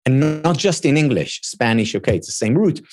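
A man's voice: medium pitch, animated, moderate pace, darkish tone. A man whose first language is Hebrew, a guest giving earnest explanations in an interview and often repeating words as he thinks. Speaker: guest expert. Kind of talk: thoughtful interview talk.

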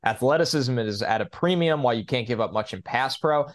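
A man's voice: mid-range voice, expressive, brisk. A man co-hosting a sports podcast, giving his opinions on football in a casual, conversational way.

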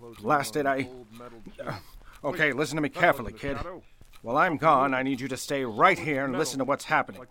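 A man playing a distracted, irritable telephone technician in an old-time radio play, stammering and halting. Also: medium pitch, crowd noise, natural voice. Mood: flustered, irritable. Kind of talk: 1930s-style radio play acting.

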